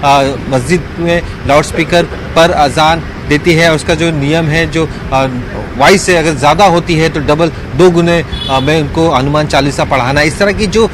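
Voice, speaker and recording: A man's voice: fairly high pitch, normal speed, hardly any pauses. A man reporting live as a field news correspondent, hesitant and wordy, leaning on stock phrases. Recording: clear outdoor traffic noise.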